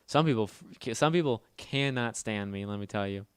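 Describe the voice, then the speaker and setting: A man hosting a casual gaming livestream, talking fast and loud with a nasal voice and rambling as he answers chat. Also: fairly low pitch, clean tone, dry recording. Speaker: livestream host.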